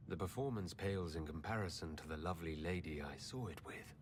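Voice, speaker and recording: Male actor as gallant leader, fairly low pitch, measured, courteous, video game dialogue, ambient noise behind. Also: natural voice. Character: composed, gallant heroic leader, adult man.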